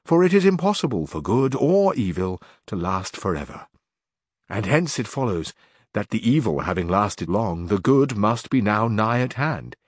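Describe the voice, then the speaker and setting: A deluded old knight who speaks slowly and grandly in archaic words, put on by a man reading aloud. His voice rises and falls with lively range. The recording is dry and close. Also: on the low side, no echo. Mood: consoling. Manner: slow, archaic, lofty speech.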